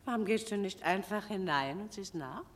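An actress playing a cool, manipulative genteel sister in a radio drama, speaking with haughty, precise irony. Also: female voice, medium pitch, lively intonation, moderate pace, close to an everyday voice. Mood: cool, dismissive. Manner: haughty, coolly ironic, precise. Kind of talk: German radio drama acting.

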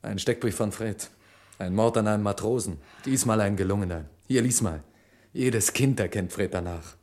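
An actor playing a desperate, guilt-ridden man hiding a crime in a vintage radio crime play, with a husky, weary delivery. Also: fairly low pitch, normal speed, darkish tone, close to an everyday voice. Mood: resigned.

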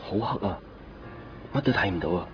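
A man's voice: lowish voice, expressive, normal speed, faint music behind, lightly acted. A man acting an earnest, uncertain young lovesick man in a 1990s Cantonese radio drama, speaking hesitantly with a Hong Kong accent. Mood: pleading, earnest. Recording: dull lo-fi radio recording.

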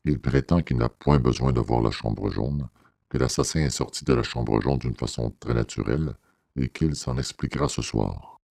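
Extremely deep, gravelly, sombre gentleman acted by a man. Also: normal speed, no echo, full character voice. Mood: sombre, reserved. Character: reserved, sombre gentleman relaying a policeman's claim. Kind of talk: audiobook drama acting.

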